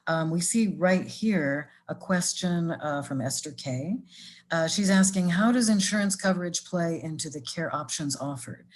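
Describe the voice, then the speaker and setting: A woman moderates a webinar panel on a video call, polite and measured, with frequent hesitant fillers. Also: lowish voice.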